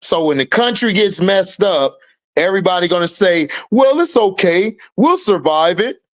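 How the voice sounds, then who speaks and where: A man's voice: high-pitched, lively intonation, normal speed. A man, hosting a casual sports stream, blunt and heated and swearing freely. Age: 30s. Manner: blunt and heated, swearing freely, rising loudly when riled. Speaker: host of an online sports and commentary stream. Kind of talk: casual opinionated commentary, reacting to chat.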